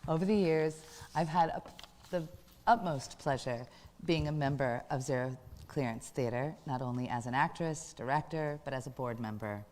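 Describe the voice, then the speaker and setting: Casting: female show host, extremely low voice, scripted introduction, heard with long reverb. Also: normal speed, darkish tone.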